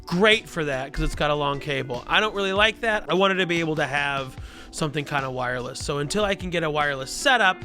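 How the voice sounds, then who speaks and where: A loud, chatty man giving a casual product tutorial, speaking into a lavalier mic clipped under his shirt. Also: fairly high pitch, quick pace, faint music behind. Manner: loud, chatty, self-deprecating asides. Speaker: gear reviewer.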